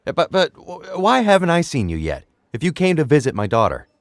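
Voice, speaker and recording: A man's voice: a little high, huge pitch swings, a little fast, no room sound, studio quality. A man playing a bewildered, protective middle-aged father in an audio drama, stammering when flustered. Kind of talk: naturalistic audio drama acting.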